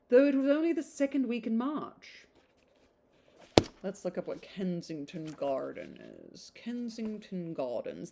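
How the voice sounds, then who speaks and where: Woman reading aloud, slightly low voice. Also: warm tone. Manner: conversational, rambling off on tangents in asides. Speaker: podcast host reading a classic novel aloud. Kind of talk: informal audiobook reading with chatty asides.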